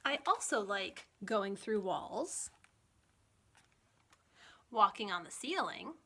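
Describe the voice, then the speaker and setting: A woman children's librarian reading a picture book aloud in an expressive, deliberate storytime manner for young listeners. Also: mid-range voice.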